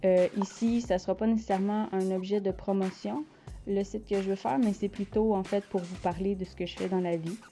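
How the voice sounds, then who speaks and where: A woman's voice: medium pitch, fairly even intonation, brisk, dark tone, soft background music. A woman from Quebec with a Quebec French accent, hosting her art channel in casual, unscripted talk and often hesitating as she searches for words. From Quebec, Canada.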